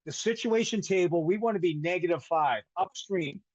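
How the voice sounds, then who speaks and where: An older man with a hard Boston accent presents over a webinar call, looping through restarts and self-corrections with a light, joking tone. His voice sits fairly high, and the sound is dry and muffled like a video call. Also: moderate pace, no echo. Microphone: video call microphone. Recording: dull webinar call audio.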